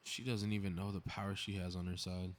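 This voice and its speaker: A man's voice: deep voice, level tone, a little fast, slight background noise, close to an everyday voice. A man playing a cocky, snarky superhero in a scripted audio roleplay, muttering to himself with sarcastic exasperation. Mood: bored, grumbling. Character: cocky, snarky, meddling invisible male superhero grumbling to himself.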